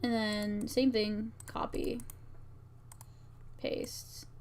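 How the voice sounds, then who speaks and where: A woman's voice: mid-range voice, little pitch movement, extremely slow, darkish tone, a little hiss or hum. A woman streamer talking herself through a task step by step in fragmented, muttered phrases, casually thinking aloud. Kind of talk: casual work-along narration, thinking aloud. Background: keyboard typing and clicking.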